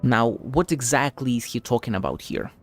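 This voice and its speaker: A male streamer talks through a game in a casual, mid-pitched voice. He is sceptical and freely profane, thinking aloud over soft background music. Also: moderate pace. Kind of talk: casual live gameplay commentary.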